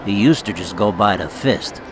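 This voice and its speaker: A man's voice: on the low side, moderate pace, a bit bright, a little hiss or hum, close to an everyday voice. A man acting as a blunt, teasing mafia boss in a radio drama, with clipped delivery. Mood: brusque, teasing.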